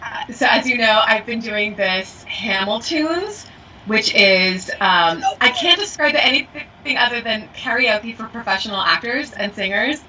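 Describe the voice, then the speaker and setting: A woman voiceover actor and acting coach co-hosting a casual podcast. Her voice is bright and forceful, and she laughs easily while she chats. The recording is a little distant, with faint background noise. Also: fairly high pitch, animated, a little slow. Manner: laughs often, bubbly and chatty. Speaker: podcast co-host. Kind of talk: casual chat.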